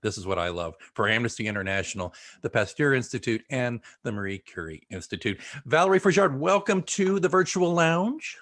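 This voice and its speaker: A man's voice: mid-range voice, animated, brisk, few pauses, a bit bright. A man hosting a podcast, chatty and joking, talking to his listeners in folksy asides. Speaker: podcast host. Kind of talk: podcast interview.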